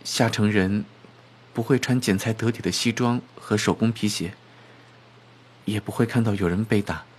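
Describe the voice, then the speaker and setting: A man's voice: fairly low pitch, fairly even intonation, normal speed, breathy edge. A man acting in a radio drama as a meek, poor young shop clerk with a hesitant, self-effacing way of talking. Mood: gentle, matter-of-fact.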